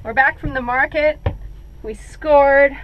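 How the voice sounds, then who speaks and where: A woman's voice: high voice, slow, muffled recording, slight background noise. A middle-aged woman chatting casually to camera in a small boat cabin. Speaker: travel vlog host. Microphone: action camera at arm's length.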